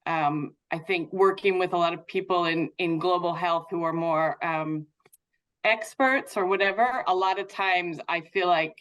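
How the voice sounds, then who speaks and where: Low-voiced North American woman, candid. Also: a little slow, fairly bright tone. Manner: candid, informal, thinking aloud. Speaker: patient advocate guest. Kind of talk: reflective interview conversation.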